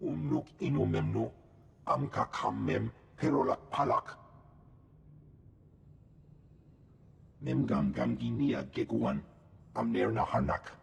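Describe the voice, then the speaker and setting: A gravelly, rasping alien general. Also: male voice, mid-range voice, expressive, measured pace, exaggerated voice. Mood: insistent. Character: gruff alien general speaking an invented tongue. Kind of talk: cinematic video game creature voice. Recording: long hall reverb.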